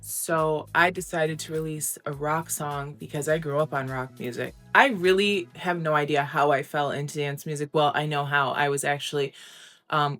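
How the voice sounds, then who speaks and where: A young woman with a low, grounded voice talks openly and casually, filling pauses with "um" and "like". Faint music plays quietly under her words. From United States. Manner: candid, chatty, frequent "um" and "like". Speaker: interview guest, recording artist. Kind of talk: casual interview answers.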